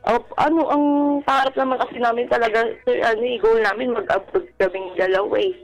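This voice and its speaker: A woman's voice: fairly high pitch, fairly even intonation, a little fast, faint music behind, rough recording. A young Filipino woman, a caller telling a personal story to radio hosts in a polite, deferential manner. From Philippines. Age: young adult.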